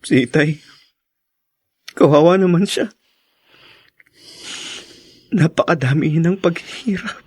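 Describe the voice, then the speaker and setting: An actor playing a sorrowful, resolute son and estranged husband in a Tagalog radio drama, with a Filipino accent. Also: male voice, fairly high pitch, slow, long pauses, breathy edge, dry recording. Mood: grieving.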